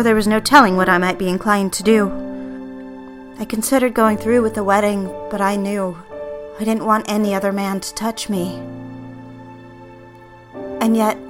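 An actress playing a guilt-ridden, defiant young bride-to-be in an audio drama, brooding and defensive in manner. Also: female voice, medium pitch, fairly even intonation, normal speed, long pauses, over music, lightly acted. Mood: brooding, troubled. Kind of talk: audio drama, confessional first-person narration and dialogue.

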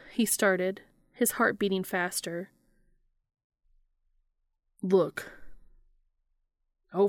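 Young woman reading fanfic out loud, gravelly low voice, dry close sound. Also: no room sound. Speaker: fan fiction reader. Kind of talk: reading fan fiction aloud.

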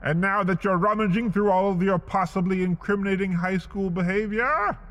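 A man reading a scripted comedic story in the second person, wry and slow, with big swings in his voice. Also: high voice, fairly bright tone, in a large hall. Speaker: narrator.

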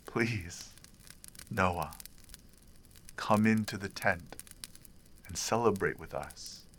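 A man voicing a kind, compassionate young man who speaks calmly. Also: deep voice, drawn-out words, slight background noise, heavily acted. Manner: calm, compassionate. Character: kind forgiving young man, a loyal friend.

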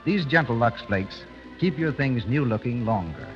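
A man with an American accent announcing and pitching a sponsor in a polished, formal 1930s radio style. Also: animated, moderate pace. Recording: muffled 1930s radio broadcast with music.

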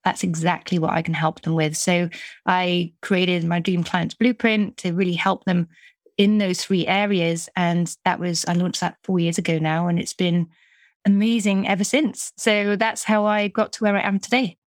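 A woman's voice: fairly low pitch, steady tone, normal speed, no room sound, studio quality. A middle-aged British woman from the UK, a business coach talking casually in an interview, chatty with frequent restarts. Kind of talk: conversational interview.

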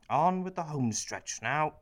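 A man's voice: a little high, sing-song, slow, vocal fry, full character voice. A man playing a cynical, self-pitying prime minister in a satirical radio play, sardonic and bitter as he confides in the audience. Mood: wry, resigned.

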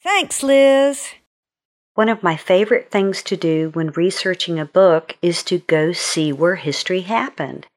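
A woman's voice: fairly low pitch, a little slow, darkish tone. A woman presenting an informal educational history segment for children as an author.

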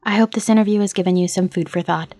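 A woman's voice: on the low side, steady tone, fast talker, a little mellow, dry recording, studio quality. A woman podcast host reading a scripted outro. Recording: music playing behind the voice. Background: music.

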